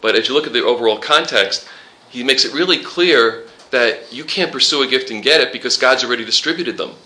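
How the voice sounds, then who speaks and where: A man's voice: mid-range voice, fairly quick, pushed and bright. A man leading an informal Bible study class, quoting scripture and joking with the students. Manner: conversational, quotes scripture, jokes with the class.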